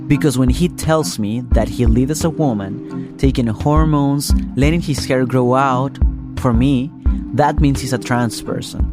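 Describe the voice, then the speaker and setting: A man's voice: mid-range voice, a little slow. A man giving scripted first-person narration as the reporter of a podcast story. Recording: music bed behind the voice. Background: music.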